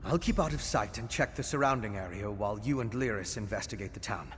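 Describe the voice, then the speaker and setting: An actor playing a cautious, scholarly gentleman adventurer in a video game, polite, measured and articulate. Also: fairly low pitch, lively intonation. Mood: thoughtful. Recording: long cavernous reverb, faint background noise.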